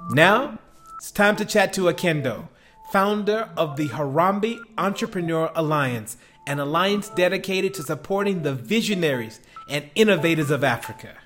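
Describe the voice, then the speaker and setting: A man hosting a podcast, who introduces the guest and coaxes him with slow, encouraging questions. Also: fairly high pitch, expressive, fairly bright tone, faint music behind. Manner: encouraging, drawn-out prompting questions. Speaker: podcast host.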